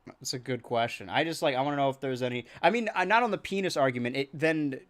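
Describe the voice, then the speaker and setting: A young American man with a monotone voice, chatting loosely while streaming a video game, rambling with many restarts. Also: mid-range voice, quick pace. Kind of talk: casual gameplay chat.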